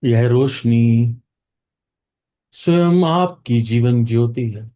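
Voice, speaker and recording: Indian accent guy doing guided meditation, super slow n soothing, soft mellow tone, lots of pauses. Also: medium pitch.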